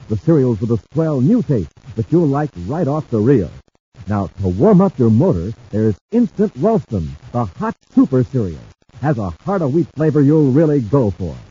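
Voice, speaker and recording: An actor playing a hearty, dramatic space serial announcer, punchy and emphatic, in 1950s radio style. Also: male voice, medium pitch, warm tone, slightly rough. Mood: hearty selling. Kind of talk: 1950s radio ad and story narration. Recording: muffled, noisy old radio recording.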